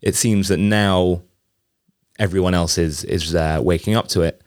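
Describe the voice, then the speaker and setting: A man hosting a podcast interview, asking long questions in a halting way, with many restarts. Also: low-pitched, steady tone, dry recording, studio quality. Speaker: podcast host.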